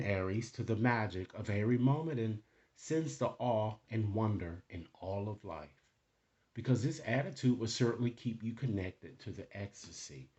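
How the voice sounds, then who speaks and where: A man with a fairly low voice speaks as a tarot reader, addressing his listeners directly with spiritual advice. His delivery is deliberate and emphatic, often checking in with short tag questions. The recording sounds a little dull and lo-fi. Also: darkish tone. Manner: deliberate, emphatic, with frequent check-in tags like "okay?". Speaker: tarot reader hosting a horoscope reading. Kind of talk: spiritual guidance reading, addressing viewers directly.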